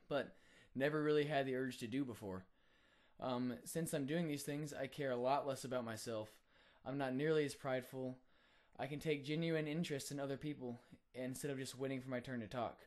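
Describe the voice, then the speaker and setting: A young man, a college student, sharing a personal faith testimony in an earnest, reflective way with frequent filler pauses. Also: medium pitch, darkish tone. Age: young adult.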